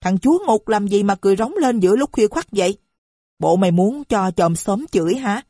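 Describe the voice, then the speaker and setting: A woman voicing a shrill, boastful, foul-mouthed middle-aged mother who screeches her abuse. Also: normal speed, a little mellow, no echo, clean studio sound, natural voice. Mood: scolding.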